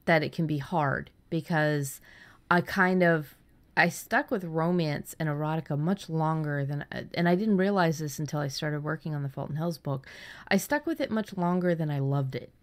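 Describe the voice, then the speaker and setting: A woman's voice: low-pitched, moderate pace. A woman hosting a casual writing podcast, talking candidly and enthusiastically, with some casual swearing. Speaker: podcast host and fiction writer.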